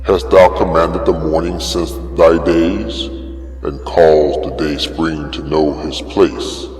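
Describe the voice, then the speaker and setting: An extremely deep, cavernous voice of God, performed by a man, stern and majestic. The delivery is very slow and declamatory, posing solemn challenges as in a dramatic scripture reading. Long reverb surrounds every phrase, with music underneath. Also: steady tone, a little mellow. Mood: grave, questioning. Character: God speaking from a whirlwind, stern, majestic, commanding.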